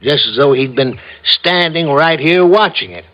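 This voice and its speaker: Folksy American radio cop, muffled recording. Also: male voice, on the high side, lively intonation, unhurried. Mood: admiring, emphatic. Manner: colloquial, emphatic, rambling storytelling. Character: folksy, talkative small-town male police sergeant. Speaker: supporting character actor. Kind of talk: classic American radio drama acting.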